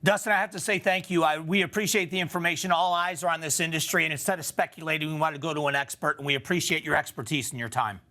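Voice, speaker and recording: A man's voice: on the high side, steady tone, fast, hardly any pauses, pushed and bright. An American man hosting a television news interview, putting questions to a guest in broadcast style.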